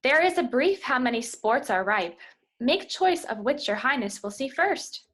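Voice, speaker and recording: A woman's voice: high voice, sing-song, normal speed, fairly bright tone, heavily acted. A woman playing a deferential but disdainful court master of revels, reading Shakespearean verse at an amateur table read over video call. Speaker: amateur reader in a play.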